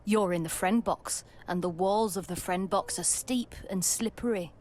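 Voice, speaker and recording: A woman's voice: mid-range voice, moderate pace, darkish tone, close to an everyday voice. An actress playing a frank, bossy younger sister in an audio play, emphatic and matter-of-fact as she advises. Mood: knowing, emphatic. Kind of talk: audio drama acting.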